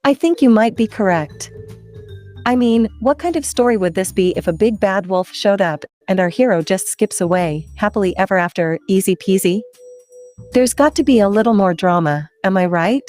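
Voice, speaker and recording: A woman's voice: medium pitch, expressive, normal speed, darkish tone, faint music behind. A woman narrating an interactive children's story, playful and conversational, speaking directly to the kids.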